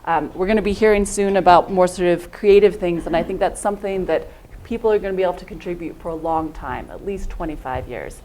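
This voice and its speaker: A woman's voice: on the low side, fairly quick, dark tone, slight background noise, poor audio. A woman giving a thoughtful conference talk, often hedging with "I think" and "um". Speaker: panel speaker.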